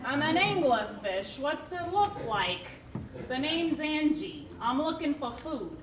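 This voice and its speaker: A woman's voice: high voice, big pitch swings, slow, exaggerated voice. A woman voicing a sly, grumpy female anglerfish in a live puppet show, brash and sarcastic. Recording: roomy, distant, noisy theatre recording.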